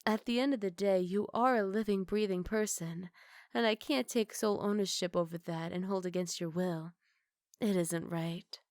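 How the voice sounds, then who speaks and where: A young wolf girl, acted by a woman, spoiled yet tender-hearted, pleads her case in an ASMR roleplay. Her mid-range voice sits in a dry, intimate space, with an earnest and gently coaxing delivery. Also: medium pitch, normal speed, no room sound, close to an everyday voice. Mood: tender, apologetic.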